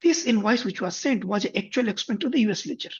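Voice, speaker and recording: A man guides viewers through a software tutorial in a husky, slightly breathy voice. He moves step by step and rephrases as he goes. The recording sounds dull and lo-fi. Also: sits high, expressive, fast talker. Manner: methodical, step-by-step, frequent self-corrections. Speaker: software instructor. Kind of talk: screen-share tutorial explanation.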